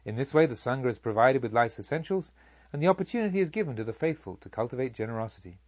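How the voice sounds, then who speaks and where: A man voicing a serene Buddha, a kindly sage who teaches in a measured, solemn, rhetorical way in an audiobook. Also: mid-range voice, expressive, no room sound, lo-fi sound, natural voice. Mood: gracious, solemn. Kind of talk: audiobook character speech.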